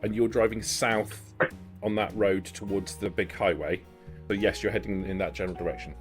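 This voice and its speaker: A man improvising narration as game master of a tabletop role-playing game, descriptive and halting as he builds each scene. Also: on the low side, steady tone. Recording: background music under the voice. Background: music.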